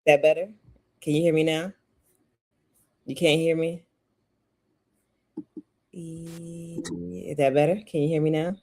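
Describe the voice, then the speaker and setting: A woman guest answering interview questions on a video call, polite and measured. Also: deep voice, little pitch movement, a little mellow, slight background noise. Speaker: guest, consultant.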